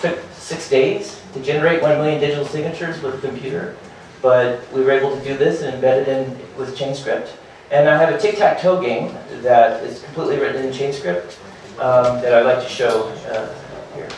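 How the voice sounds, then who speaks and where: A man in his thirties giving a hurried startup presentation at a meetup, full of fillers and restarts. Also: medium pitch, fairly even intonation, a little mellow, a little hiss or hum. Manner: hurried, filler-heavy, restarts and repeats words. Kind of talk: conference talk. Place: meetup room with projector and seated audience. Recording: very echoey room from far off.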